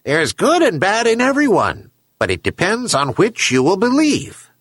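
A man's voice: sits high, wildly swooping pitch, moderate pace, a bit bright, no room sound, clean studio sound, voice pushed up, heavily acted. A man voicing a wise herring gull in a storybook tale, admonishing and philosophical. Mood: chiding. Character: wise, chiding herring gull, moral counselor.

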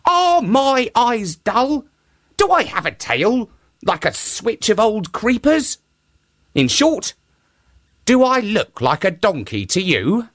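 A man voicing a clever, indignant male monkey in a high voice. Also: huge pitch swings, slow, fairly bright tone, no room sound, studio quality, exaggerated voice.

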